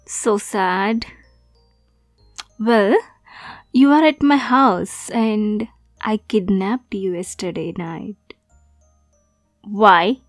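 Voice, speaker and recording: A woman's voice: mid-range voice, dry recording, studio quality. A woman playing a young, obsessive yandere girlfriend kidnapper in an ASMR roleplay, cutesy and teasing with sweet menace. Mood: sweetly teasing. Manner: slow, cutesy, teasing, breathy asides.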